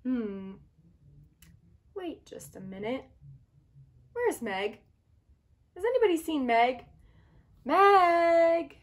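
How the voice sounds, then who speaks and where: A woman's voice: high-pitched, extremely slow, long pauses, voice pushed up, exaggerated voice. A woman voicing an anxious, bossy young egg in a picture-book read-aloud, fretful and dramatic. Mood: bossy, urgent. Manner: dramatic, fretful, commanding, expressive. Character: anxious, bossy, earnest young egg leading his friends.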